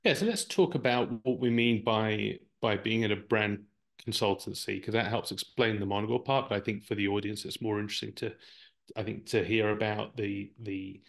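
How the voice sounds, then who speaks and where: A man's voice: on the low side, fairly even intonation, moderate pace, gravelly. A Brazilian-born man with an English accent, Portuguese his first language, answering interview questions thoughtfully as a guest, often repeating words while he finds his phrasing. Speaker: guest, marketing executive.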